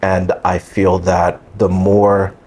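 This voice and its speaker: A man speaking as a guest educator in a reflective interview, with measured, deliberate phrasing. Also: deep voice, completely flat delivery, darkish tone. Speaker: guest performing arts educator.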